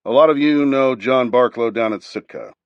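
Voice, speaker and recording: A man's voice: mid-range voice, normal speed, clean tone, no echo, dull audio, pitched above the performer's own voice, natural voice. A man reading aloud, voicing a commanding male former senator giving firm instructions.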